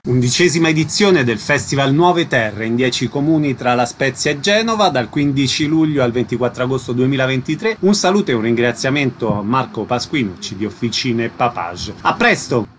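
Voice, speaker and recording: A man's voice: mid-range voice, animated, a little fast, hardly any pauses, a little hiss or hum. A man hosting a radio interview with prepared questions and announcements.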